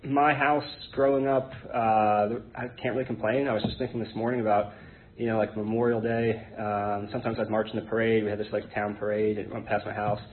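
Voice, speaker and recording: An American man in his thirties speaking informally and haltingly, giving a personal testimony to a group. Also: lowish voice, level tone. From born in Hong Kong to an English identity, raised in Connecticut, United States. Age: 30s. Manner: hesitant, halting, rambling. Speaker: guest speaker at an Alcoholics Anonymous meeting. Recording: tinny phone-like band, noisy background.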